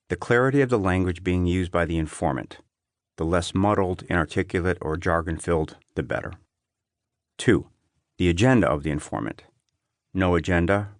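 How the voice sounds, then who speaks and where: A man reading an essay as an audiobook narrator, in a measured, clearly phrased delivery. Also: deep voice, no echo, clean studio sound.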